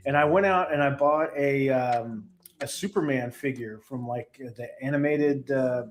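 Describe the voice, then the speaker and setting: A man's voice: mid-range voice, measured pace. A man who creates comic books, a podcast guest telling rambling, laid-back, self-mocking stories. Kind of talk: casual storytelling.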